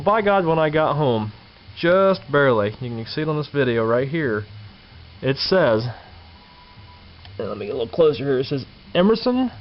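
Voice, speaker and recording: A man giving a casual, unscripted show-and-tell in a folksy, colloquial way, going through details step by step. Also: mid-range voice. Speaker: vintage radio collector presenting a find. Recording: dull lo-fi camera sound, background noise.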